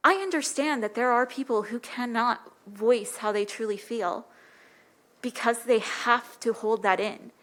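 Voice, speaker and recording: Woman, light soft-spoken voice, earnest public comment, council podium mic, fairly high pitch. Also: unhurried. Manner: earnest, impassioned. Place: city council chamber podium.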